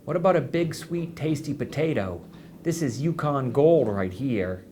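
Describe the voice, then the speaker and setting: A playful school nurse, a woman as read by a man, talking in coaxing, mock-grave tones. The delivery is teasing storybook dialogue at a middling pitch. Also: animated, a little slow, mellow, close to an everyday voice. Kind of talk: acted dialogue in an audiobook reading.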